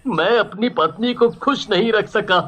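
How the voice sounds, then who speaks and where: Casting: man as caring young husband for cartoon family tale, earnest, gently reproachful. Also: high-pitched, moderate pace, highs cut off. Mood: grieved, stern. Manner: earnest, chiding.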